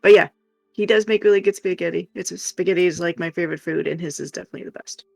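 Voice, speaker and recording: A man's voice: sits high, fast, gravelly, soft background music. A man chatting casually as a guest on a livestream, chiming in on someone else's story. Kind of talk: casual chat, interjecting.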